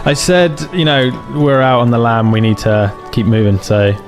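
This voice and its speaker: A man's voice: mid-range voice, moderate pace, over music. A man playing a tabletop game, joking and speaking in character. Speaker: player. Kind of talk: casual tabletop roleplay.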